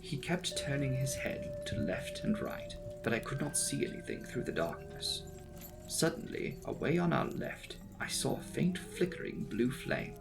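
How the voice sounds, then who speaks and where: Creaky, dramatic male audiobook narrator. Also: medium pitch, huge pitch swings, a little slow, music in the background. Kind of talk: dramatic reading of a classic gothic novel.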